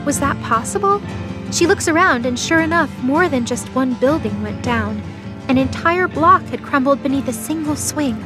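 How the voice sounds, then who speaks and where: High-pitched woman narrating an audio drama. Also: lively intonation, normal speed, music in the background.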